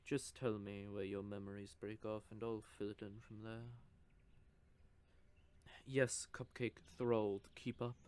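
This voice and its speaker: A man's voice: fairly low pitch, flat delivery, measured pace, darkish tone, clear, resonant tone, natural voice. A man voicing a teasing, possessive male vampire in an audio roleplay, with a smug, drawling manner. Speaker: voice actor.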